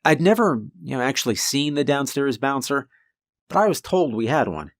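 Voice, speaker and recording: A man with a deep voice narrating a first-person horror story in a calm, conversational way. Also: moderate pace, no room sound, studio quality.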